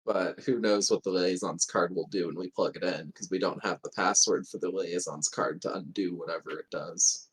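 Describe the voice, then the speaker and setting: A man's voice: low voice, fairly even intonation, moderate pace, hardly any pauses, breathy edge, rough recording. A man playing a tabletop roleplaying game, talking through options in casual conversation.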